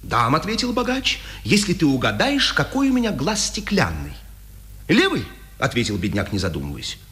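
Defiant communist prisoner, dry irony, really animated pitch, old soviet radio play. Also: male voice. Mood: ironic, defiant. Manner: dry irony, deliberate storytelling. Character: defiant, ironic male Communist writer held prisoner. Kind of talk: 1950s Soviet radio drama acting.

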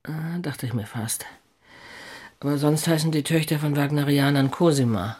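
A man's voice: a little high, normal speed, a little mellow, slightly rough. An actor playing a smitten, sober-minded city man in an intimate audio drama. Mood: tender, hushed.

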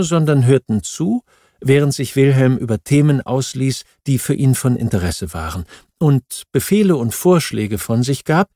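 A man reads a scripted nonfiction audiobook in a measured, factual manner. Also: medium pitch, dark tone, no echo, clean studio sound. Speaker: audiobook narrator.

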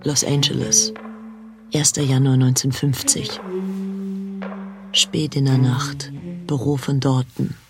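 A man's voice: medium pitch, little pitch movement, a little slow, pauses often, close to an everyday voice. A man acting as a matter-of-fact narrator who sets the scenes in a radio drama. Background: music.

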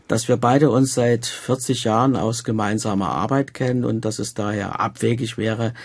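Husky older man interviewing, formal phrasing. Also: medium pitch, a little mellow, no room sound. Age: middle-aged or older. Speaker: interviewer and radio drama department head. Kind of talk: prepared interview introduction and questions.

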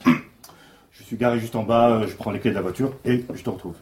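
An actor playing a reluctant, sheepish police sidekick in a comedy audio drama, hesitant and stammering. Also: male voice, lowish voice, brisk, darkish tone, a little hiss or hum, lightly acted. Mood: wry, reproachful.

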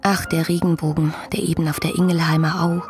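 An actress playing a dreamy, headstrong young woman in love, reading her letters in a breathy voice for a radio play. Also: deep voice, flat delivery, a little fast, over music. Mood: yearning, rapt.

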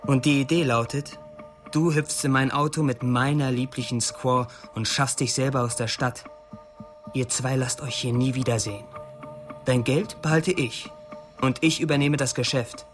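Radio crime drama: male actor as young upstart gangster, domineering and sneering, mid-pitched voice, crude menace. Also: steady tone, normal speed, soft background music, close to an everyday voice. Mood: coolly dictating. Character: menacing young male drug-scene rival seizing power. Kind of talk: radio play acting.

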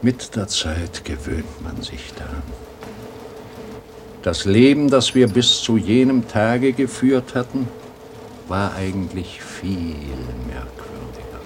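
An actor playing a weary, wistful old grandfather in a radio drama, with a husky voice and a brooding, halting delivery. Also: fairly low pitch, expressive, background noise.

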